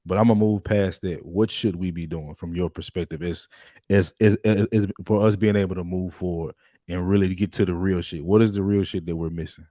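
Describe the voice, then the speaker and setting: A man's voice: low-pitched, fairly even intonation, fairly quick, warm tone, no echo, clean studio sound. A man chatting casually as a panelist on a podcast.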